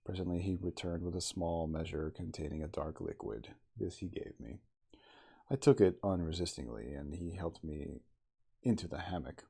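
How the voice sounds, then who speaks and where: A man reading a classic novel aloud as ASMR, in a soft, intimate, slow dramatic storytelling manner. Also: low voice, vocal fry, husky. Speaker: audiobook reader in character as the narrator.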